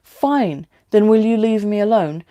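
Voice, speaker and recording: A woman's voice: medium pitch, animated, a little slow, dark tone, pitched above the performer's own voice, natural voice. A woman reading a story aloud as a coolly amused, evasive female driver, with a London British accent. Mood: evasive, weary. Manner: dismissive, withholding.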